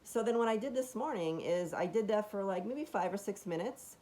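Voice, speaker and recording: A woman with a raspy, deeper voice chatting casually at home as she demonstrates a device, rambling enthusiastically with many fillers and restarts. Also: moderate pace, warm tone, low-quality recording.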